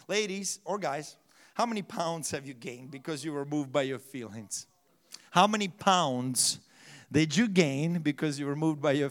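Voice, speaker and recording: A man preaching a sermon as pastor, his voice rising to loud, emphatic shouts and falling quiet, with frequent restarts and slightly broken English. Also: fairly high pitch.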